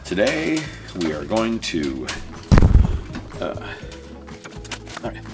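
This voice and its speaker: Low-voiced man, casual how-to host. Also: big pitch swings, drawn-out words, loud music behind the voice. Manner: informal, muttering to himself while working. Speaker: DIY video host. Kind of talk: casual hands-on how-to narration.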